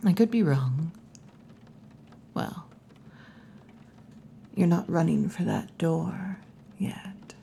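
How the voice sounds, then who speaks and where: Woman acting as tomboy wolf girl bartender, ASMR roleplay, slow breathy whispers, dark mellow tone, teasing, faint background noise. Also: deep voice, exaggerated voice. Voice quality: breathy, mostly whispered or half-whispered. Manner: slow, hushed, teasing, with long pauses. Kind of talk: ASMR roleplay, intimate scripted monologue. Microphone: close ASMR microphone.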